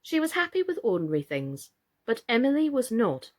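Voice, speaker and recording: A woman storyteller narrating a children's book aloud in an expressive, deliberate way. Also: fairly high pitch. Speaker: storyteller, actress reading aloud.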